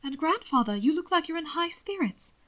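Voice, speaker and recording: A woman voicing a soft-spoken, reluctant young husband in an audiobook, with a tender, hushed, breathy delivery. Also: high-pitched, big pitch swings, a little fast, pitched above the performer's own voice. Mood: gentle. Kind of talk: audiobook character voice. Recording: occasional static crackle from microphone.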